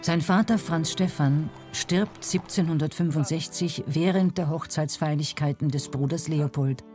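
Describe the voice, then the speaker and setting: A woman narrates from a script in a warm, relaxed timbre. Her delivery is measured and uses dramatic pauses, with light music behind her. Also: deep voice. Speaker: documentary narrator. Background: faint music.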